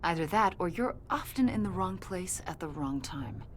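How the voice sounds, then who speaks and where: An actress playing a confident government agent in a video game, questioning in a pointed, insinuating and accusatory way. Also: female voice, on the low side, lively intonation, normal speed, slight background noise, natural voice. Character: probing female government agent, confident, accusatory, smoothly needling. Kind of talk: video game dialogue acting.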